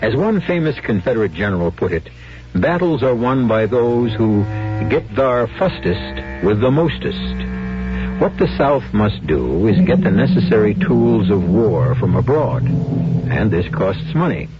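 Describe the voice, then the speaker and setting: A man narrating as host of a radio drama, deliberate and confiding, in a theatrical storytelling style. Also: lowish voice, very animated. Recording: dull 1970s radio recording. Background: music.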